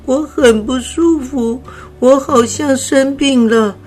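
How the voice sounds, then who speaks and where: A woman voicing a small, sickly grey butterfly in a storybook, with a high, childlike, breathless voice. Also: takes it slowly, darkish tone, soft background music. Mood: weak, pleading. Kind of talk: storybook character voice.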